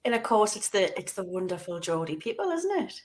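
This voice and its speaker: A woman's voice: medium pitch, big pitch swings, fairly quick, a little mellow. A woman co-hosts a podcast in an easy, chatty way. Her questions wander a bit and are padded with fillers. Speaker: podcast co-host. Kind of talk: casual interview chat.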